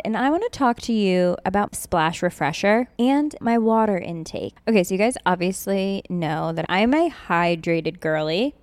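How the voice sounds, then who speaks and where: A woman's voice: mid-range voice, dry recording. A young American woman hosting a podcast, reading an ad in a casual, chatty, girlish and informal way. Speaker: podcast host reading an advertisement.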